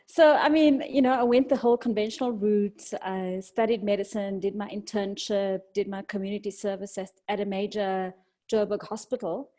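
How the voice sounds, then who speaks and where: A woman from South Africa with a South African accent, speaking as a doctor and podcast guest in a reflective, halting conversational way. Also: mid-range voice, steady tone, mellow.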